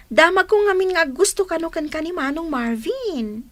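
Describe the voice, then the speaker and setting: A woman's voice: extremely high pitch, expressive, unhurried. A woman acting a cheeky, affectionate younger sister in an Ilocano Filipino radio drama, eager and teasing.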